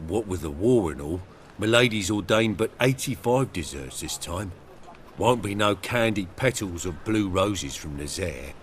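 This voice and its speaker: A man's voice: low voice, animated, a little slow, slight background noise. An actor playing a meek, deferential valet to a noble lady in a video game, with a servile, folksy way of talking. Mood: deferential, regretful. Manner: servile, folksy, dropped words. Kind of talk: video game dialogue acting.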